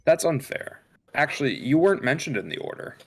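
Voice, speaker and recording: A man's voice: fairly high pitch, sing-song, brisk, husky, heavily acted. Cold, condescending android, acted by a man. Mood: cool, evasive. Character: cold, calculating male android, damaged and condescending. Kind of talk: dramatic table read of a film script.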